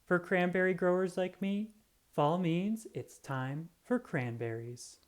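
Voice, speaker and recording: A reader reading a children's picture book aloud in an expressive storytelling manner. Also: medium pitch, slow.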